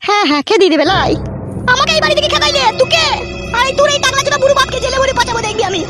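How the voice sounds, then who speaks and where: A woman's voice: very high-pitched, lively intonation, rapid-fire. A woman voicing a scheming, quarrelsome village mother-in-law in a comedy cartoon, scolding and combative. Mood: furious, taunting. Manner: scolding, combative, foul-mouthed. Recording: music playing behind.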